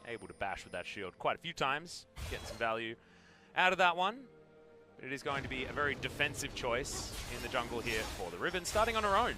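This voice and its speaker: A man's voice: medium pitch, very animated, a little fast, over music. A man doing live esports commentary as a play-by-play caster, mixing game calls with conversational banter.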